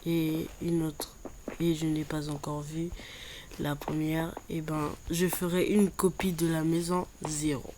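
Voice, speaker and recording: A woman with a cold and a stuffy voice, chatting slowly through a building game, often repeating herself. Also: deep voice, flat delivery, background noise. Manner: slow, repeats words while building. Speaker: Minecraft YouTuber. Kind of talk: casual gameplay commentary.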